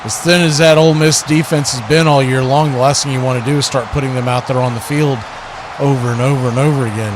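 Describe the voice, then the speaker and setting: A man's voice: mid-range voice, fairly even intonation, fast. A man hosting a watch party with live football play-by-play, often rephrasing as the play unfolds, crowd noise behind him. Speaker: play-by-play host of a sports watch party stream.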